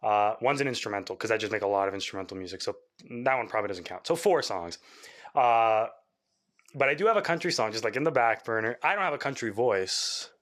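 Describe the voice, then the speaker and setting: A young man, a musician from Canada, talking casually in an interview, rambling with lots of fillers and quick laughs. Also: lowish voice, expressive, fairly bright tone. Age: young adult. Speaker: musician guest.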